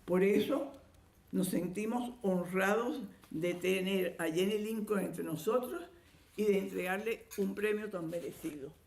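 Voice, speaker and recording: Gravelly-voiced woman giving a formal speech. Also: mid-range voice, a little mellow, echoey room. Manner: measured and formal. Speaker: award presenter. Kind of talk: formal ceremony speech read aloud. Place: event hall.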